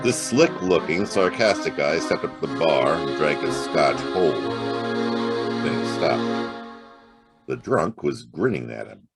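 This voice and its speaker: An actor playing a wry, hard-boiled private detective in a noir audio drama, narrating and talking in terse slang. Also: male voice, rumbling low, lively intonation, slow, gravelly, over loud music, heavily acted. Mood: brooding, wry.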